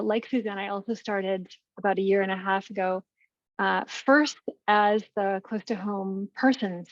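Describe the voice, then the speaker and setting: A woman who manages a seniors network, presenting her program in a webinar with lively energy and some restarts. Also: mid-range voice, no echo, muffled recording. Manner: energetic, talks through slides with restarts. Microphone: video call.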